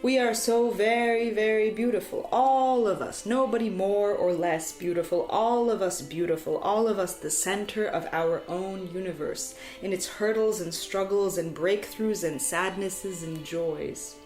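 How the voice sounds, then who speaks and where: A woman storyteller delivering poetic scripted narration in a solemn, deliberate way, with dramatic phrasing and emphatic repetition. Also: medium pitch, a little mellow. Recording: echoey, reverberant sound with music behind. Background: music.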